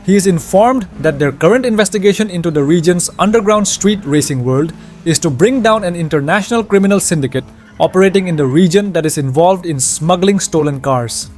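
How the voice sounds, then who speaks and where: A man's voice: sits high, a little mellow. A man reading a scripted narration that retells a video game story.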